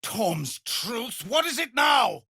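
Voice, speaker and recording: Weary tiefling commander, honourable, formal and earnest, widely varied intonation, deliberate pace, dry close studio sound, dramatic game acting. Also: male voice, extremely high pitch, sing-song, bright, forceful tone, slightly rough, dry recording, raised voice, heavily acted. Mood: urgent, commanding.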